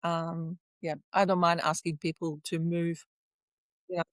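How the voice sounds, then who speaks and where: A woman's voice: lowish voice, little pitch movement, measured pace, dry recording. A woman from Flanders in Belgium, a native Flemish speaker, chatting casually and enthusiastically as a podcast guest. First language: Flemish Dutch. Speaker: podcast guest, tourism lecturer. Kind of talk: casual personal chat.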